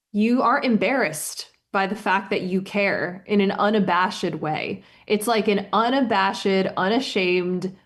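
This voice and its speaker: A woman speaks as a science podcast host in an interview. Her delivery is animated and emphatic, with a mid-range voice. She explains things informally, in plain conversational terms. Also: mid-range voice.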